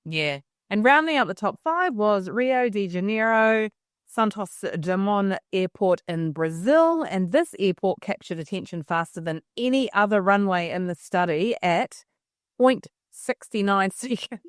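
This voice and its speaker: A woman from New Zealand with a softened New Zealand accent, co-hosting a travel podcast in a relaxed, chatty way. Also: mid-range voice, unhurried, clear, resonant tone, no room sound, clean studio sound. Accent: New Zealand, softened by years in Australia. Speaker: podcast co-host. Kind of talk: casual chat.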